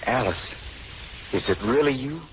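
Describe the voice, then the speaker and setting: A man's voice: mid-range voice, lively intonation, a little slow, close to an everyday voice. A man playing an earnest, devoted lover who speaks plainly and directly in 1930s radio drama. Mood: concerned. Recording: dull, hissy old radio recording.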